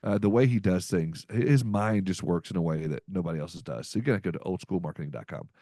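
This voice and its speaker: A man's voice: low voice, fast, dark tone, no echo, clean studio sound. A man hosting a podcast, chatting casually in an upbeat, folksy way with lots of fillers. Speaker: podcast host. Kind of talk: casual podcast chat with ad reads.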